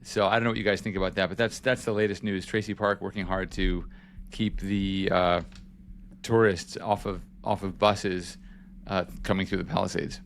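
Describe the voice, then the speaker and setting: A man's voice: deep voice, fairly even intonation, moderate pace, vocal fry, slight background noise. A man hosting a podcast as a journalist, giving casual news updates in a chatty, self-correcting way.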